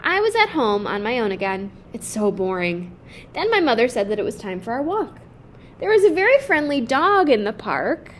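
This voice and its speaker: A woman's voice: on the high side, big pitch swings, moderate pace, a little hiss or hum. Woman reading as a lonely, timid little boy. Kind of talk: children's picture book read-aloud.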